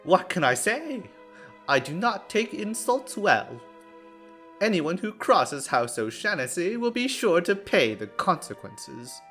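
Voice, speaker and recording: A man's voice: sits high, sing-song, slow, a bit bright, soft background music, full character voice. An actor voicing a smug, scheming male noble with a goading, mock-sweet, haughty delivery in a visual novel scene. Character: smug, scheming young male noble villain, taunting and theatrical. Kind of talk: dramatic visual novel character acting.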